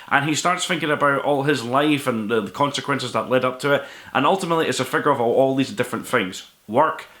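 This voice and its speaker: A man's voice: mid-range voice, sharp tone. A man hosting a book review show, speaking in an earnest, emphatic, conversational way as he recommends what he has read. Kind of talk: enthusiastic spoken book review to camera.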